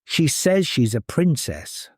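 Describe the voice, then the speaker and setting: A man's voice: fairly high pitch, very animated, husky, dry recording, studio quality, exaggerated voice. Man voicing a gracious, shrewd storybook queen. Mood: knowing. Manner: warm, knowing, measured. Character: gracious, shrewd queen mother, kindly and sly.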